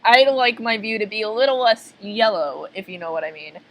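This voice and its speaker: A woman's voice: mid-range voice, fairly quick, fairly bright tone, natural voice, rough recording. A woman voicing a sour, sarcastic male cartoon restaurant worker who complains in a put-upon, sardonic way. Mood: dry.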